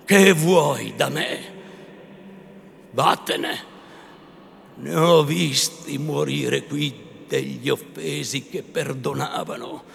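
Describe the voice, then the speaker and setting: Man playing a stern old friar, high booming shouts, gravelly, slow, echoey hall. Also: slight background noise, exaggerated voice. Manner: solemn, rising to furious shouts. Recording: echoey hall, faint ambience.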